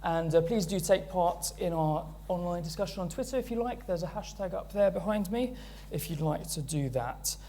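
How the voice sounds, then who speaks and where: A man's voice: sits high, expressive, normal speed, hardly any pauses, dark tone. A British man chairing a panel event, introducing speakers in a friendly, hesitant way with many fillers.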